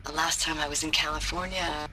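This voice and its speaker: An actor playing a weary, wry soldier recording a war log in a video game. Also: male voice, on the high side, little pitch movement, fairly quick, bright, forceful tone, a little hiss or hum, rough recording. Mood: weary recounting. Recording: clear music behind the voice.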